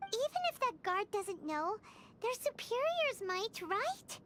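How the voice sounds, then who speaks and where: A woman voicing a tiny, childlike, fretful fairy companion in a video game, squeaky and excitable. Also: slow, a little hiss or hum, full character voice. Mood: anxious, scolding. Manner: squeaky, excitable, refers to self by name. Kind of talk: scripted video game dialogue.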